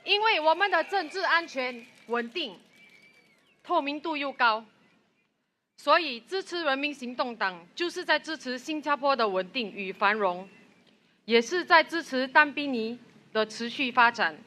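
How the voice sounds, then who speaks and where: A woman speaks at an outdoor political rally with earnest, rousing conviction. Her voice is high and bright, and she delivers each line with feeling. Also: expressive, normal speed.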